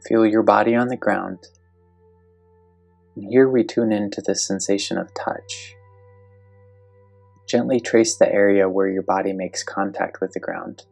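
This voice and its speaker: Low, creaky-voiced meditation guide. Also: fairly even intonation, soft background music. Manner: slow, gentle, instructional.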